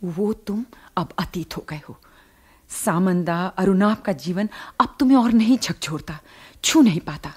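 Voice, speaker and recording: A woman's voice: fairly low pitch, unhurried, darkish tone, breathy edge. A woman acting a caring, principled middle-class wife and mother in a radio drama, earnest and argumentative. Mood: reproachful.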